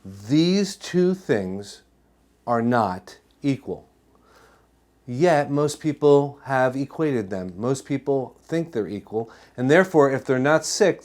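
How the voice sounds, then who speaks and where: A man's voice: a little high, expressive, a little slow, few pauses, a little mellow. An American man presenting an educational health talk as a chiropractor, emphatic, asking rhetorical questions and repeating his points. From New Jersey, United States.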